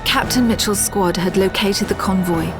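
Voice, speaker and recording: An actress with a British accent playing a composed, wary military officer, narrating in a grave, measured, clipped way for a video game. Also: female voice, medium pitch, over music, lightly acted.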